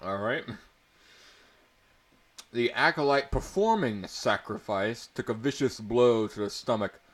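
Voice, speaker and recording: A man's voice: mid-range voice, expressive, a little slow, a bit bright. A man narrating a story aloud in a portentous, mock-dramatic way, with amused asides. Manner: portentous, breaking into amused commentary.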